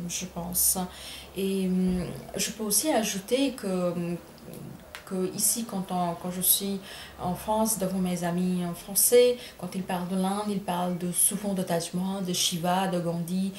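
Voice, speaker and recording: A middle-aged woman from India speaks with an Indian accent, choosing her words slowly and reworking her phrases as she goes. Her voice carries some room echo, and a faint ambient hum sits underneath. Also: mid-range voice, darkish tone. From Bengal, India. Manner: thoughtful, many hesitations and self-corrections. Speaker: writer. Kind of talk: reflective interview answers.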